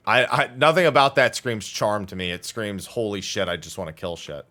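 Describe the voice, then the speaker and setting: A man's voice: fairly low pitch, normal speed, pushed and bright. A man livestreaming casual gaming chat in a blunt, opinionated way on a close microphone.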